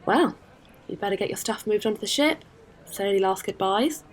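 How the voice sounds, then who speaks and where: A woman's voice: mid-range voice, animated, brisk, a little hiss or hum, close to an everyday voice. A woman playing a supportive, practical starship captain in a science-fiction audio drama. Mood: friendly, practical. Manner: gentle, considerate.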